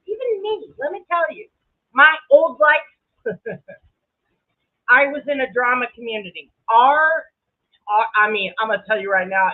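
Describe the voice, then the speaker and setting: Brash woman with a high voice. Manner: brash, sweary, dramatic, laughs often. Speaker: livestream creator. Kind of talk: casual livestream commentary to viewers. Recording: muffled, narrow lo-fi sound.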